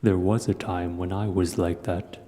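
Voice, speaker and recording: A cavernous reverb surrounds an old, wise dragon, played by a man, with a very deep voice. He speaks in measured, gentle phrases with a mellow, dark tone, like a thoughtful storyteller in an audio roleplay. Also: flat delivery, natural voice. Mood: wistful, reflective. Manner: slow, measured, reflective storytelling. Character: ancient, wise, gentle male dragon hoarder. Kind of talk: audio roleplay acting, addressing the listener.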